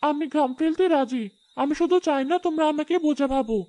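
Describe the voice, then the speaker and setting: Woman voicing a high-pitched, plaintive young cursed fairy. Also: quick pace, mellow, natural voice. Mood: determined, pleading. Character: gentle, self-doubting young cursed fairy living as human. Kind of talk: Bengali cartoon fairy-tale dialogue.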